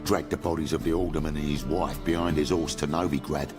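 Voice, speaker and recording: An actor playing a grim, wary street thug in a fantasy game, with a gravelly bass voice. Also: male voice, fairly even intonation, fairly quick, music in the background. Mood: uneasy. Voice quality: very deep, gravelly. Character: wary, grim male thug, cautioning his companion.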